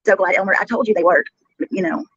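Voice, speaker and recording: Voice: mid-range voice, steady tone, quick pace, a bit bright, breathy edge, dry recording. Chatty, sarcastic livestreamer, dull sound. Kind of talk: casual livestream chat. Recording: dull lo-fi replayed livestream audio.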